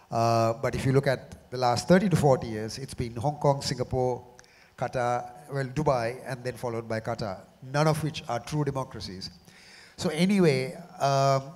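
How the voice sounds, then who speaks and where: Hoarse Sri panelist, middle-aged man, measured and halting, long hall reverb. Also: mid-range voice, darkish tone. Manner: measured, often repeats words as he restarts. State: slightly hoarse from a cough. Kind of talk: panel discussion. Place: large conference hall.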